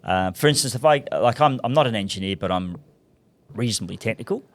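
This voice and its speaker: A man talks easily about his long working life, often laughing at himself. His voice sits on the low side. He comes across as relaxed and good-humoured as a podcast guest. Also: fast, dry recording. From Scotland. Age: 60s. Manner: chatty, self-deprecating, laughs often. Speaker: guest, commercial director. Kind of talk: casual podcast interview.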